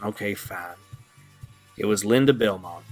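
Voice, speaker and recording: A man's voice: lowish voice, measured pace, darkish tone, faint music behind. A man playing an easygoing, wry young guitarist friend, sheepish but good-humoured, in an audio drama. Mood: sheepish, confessing. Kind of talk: amateur audio drama acting.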